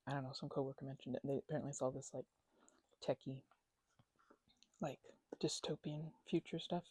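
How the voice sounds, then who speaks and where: A woman playing a chatty, supportive, slightly weary housemate in an intimate ASMR roleplay, rambling and hesitant in a soft, playful, conversational way. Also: a bass voice, steady tone, normal speed, slightly rough, no room sound. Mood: animated, musing. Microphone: close microphone, dry.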